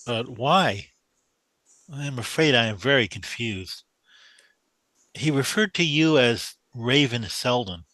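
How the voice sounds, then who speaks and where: A man's voice: mid-range voice, animated, takes it slowly, pauses often, sharp tone, dry recording. A man playing a young, deferential, bewildered mathematician in a radio drama, hesitant and polite. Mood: confused, wary.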